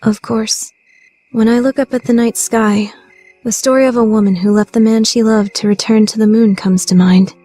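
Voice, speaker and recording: A woman's voice: fairly high pitch, fairly even intonation, moderate pace, warm tone, natural voice. A woman playing a proud, scheming young lady student in an anime-style radio play, theatrical and easily flustered. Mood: wistful. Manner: theatrical, shifting between haughty plotting and flustered outbursts.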